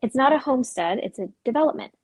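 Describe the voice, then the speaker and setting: A woman's voice: high voice, expressive, fast talker, breathy edge, heavily acted. A woman playing a weary, wry, guarded young suburban woman in a dramatic script reading, speaking in a dry, understated way. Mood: flat, resigned.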